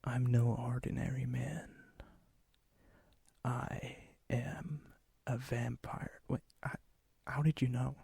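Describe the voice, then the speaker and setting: A man playing a lonely, gentle, melancholy vampire in an ASMR roleplay, speaking softly and hesitantly, often near a whisper. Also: mid-range voice, level tone, gravelly, husky, no echo, full character voice. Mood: nervous, confessing. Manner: hesitant, wistful, halting. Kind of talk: ASMR roleplay, intimate acted monologue.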